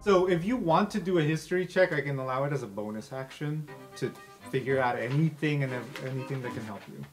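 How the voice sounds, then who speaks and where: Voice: mid-range voice, a little fast. Casual game master over background music. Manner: casual, informal, swearing freely. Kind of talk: improvised tabletop game narration and table talk. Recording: music under the talk.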